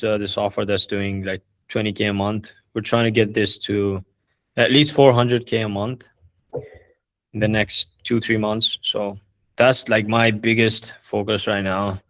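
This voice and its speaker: A man hosting a casual group video call, relaxed and hesitant, often restarting his sentences. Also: fairly low pitch, dry recording. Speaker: call host answering members' questions.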